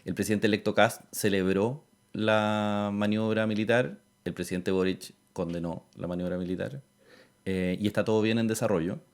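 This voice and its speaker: A man's voice: on the low side, normal speed. A man with a Chilean accent hosts a conversational interview. His delivery is easygoing and informal, full of colloquial local expressions. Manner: relaxed, colloquial, uses local slang. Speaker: interview host.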